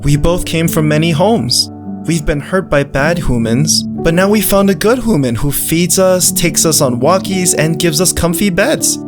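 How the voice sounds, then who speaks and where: Earnest young dog acted out, food-loving, naive, lively swooping delivery, visual novel narration, music behind. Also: medium pitch, normal speed, close to an everyday voice. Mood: earnest, hopeful. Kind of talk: first-person visual novel narration with character dialogue.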